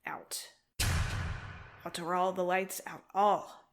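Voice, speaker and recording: A woman performing dramatic Gothic poetry as a grave, ominous reciter, theatrical and drawn-out with menacing drops into whispers. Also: fairly low pitch, flat delivery. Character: grave, ominous female reciter of macabre verse. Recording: clear background noise.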